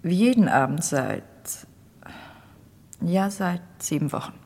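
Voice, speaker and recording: A proud doctor's wife in an old radio crime play. Her voice is low and husky, and she speaks directly, with imperious self-control. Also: lively intonation, slow, darkish tone, full character voice. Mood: composed, defensive. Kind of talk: vintage German radio crime drama acting.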